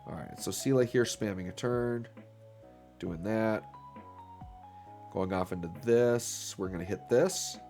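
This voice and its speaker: A man's voice: on the low side, unhurried, pauses often, darkish tone, faint music behind. A man hosting a gaming channel, talking casually through his gameplay. He sounds a little stuffy from an illness and fills his talk with "um" and "right?". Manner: upbeat, filler-heavy, talks while playing.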